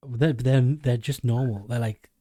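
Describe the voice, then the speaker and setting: A man's voice: medium pitch, steady tone, a little slow, dark tone. A man with a Geordie accent hosting a podcast, chatting casually and often restarting his sentences. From England. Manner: rambling, many restarts. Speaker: podcast host. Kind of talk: casual chat.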